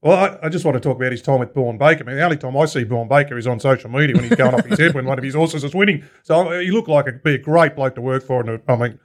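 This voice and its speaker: A man's voice: medium pitch, rapid-fire, hardly any pauses. A man co-hosting a podcast, joking around. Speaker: podcast co-host. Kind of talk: podcast chat.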